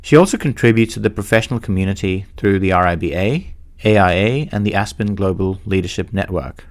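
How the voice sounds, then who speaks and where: A man with a somewhat low voice hosts a podcast. He speaks in a measured, formal way, as if presenting a prepared introduction before putting questions to someone. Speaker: podcast host. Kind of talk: scripted introduction and interview.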